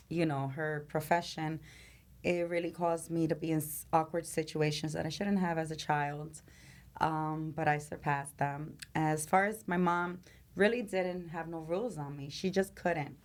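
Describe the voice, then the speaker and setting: Low-voiced new woman chatting openly as an interview guest, laughs a lot, lots of "you know". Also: fairly even intonation, a little slow. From Venezuela. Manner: candid, laughs often, many fillers like "you know". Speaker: guest, nightlife promoter. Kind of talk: casual interview chat.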